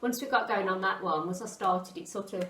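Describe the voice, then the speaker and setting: A woman's voice: mid-range voice, brisk, a bit bright, a little hiss or hum. A middle-aged woman in casual chat, talking in a chatty, repetitive way as a pantomime writer. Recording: echoey room, distant mic, background chatter.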